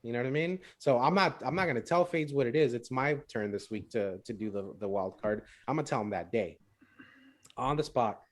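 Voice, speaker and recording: Man, podcast co-host, casual rambling delivery, repeats himself, drops Spanish phrases, mid pitch. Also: a little fast. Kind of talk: casual chat.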